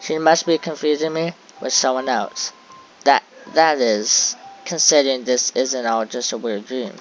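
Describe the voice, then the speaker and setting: A man reading a visual novel aloud as dramatic first-person narration, halting and stumbling a little over the text. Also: mid-range voice, fairly even intonation. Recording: dull lo-fi audio with music behind.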